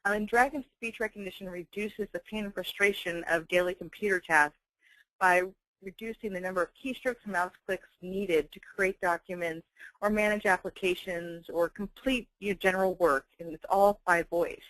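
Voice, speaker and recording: A woman's voice: medium pitch, fairly even intonation, normal speed, a bit bright, dry recording, low-quality recording. A woman giving a company webinar presentation, explaining things in a conversational way.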